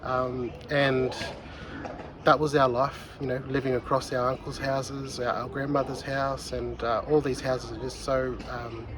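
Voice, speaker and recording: A man's voice: medium pitch, level tone, unhurried. Earnest young man with street noise behind him. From New South Wales, Australia. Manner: earnest, reflective, with frequent ums and uhs. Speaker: contemporary artist. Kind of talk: artist talking about his work to camera. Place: outdoors on a residential street.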